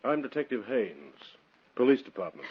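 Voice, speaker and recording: An actor playing a polite, methodical police detective with a husky voice in a vintage radio thriller. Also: male voice, mid-range voice, sing-song, moderate pace. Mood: courteous, probing. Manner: apologetic, methodical. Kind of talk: 1940s-60s radio thriller acting. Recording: muffled vintage radio recording.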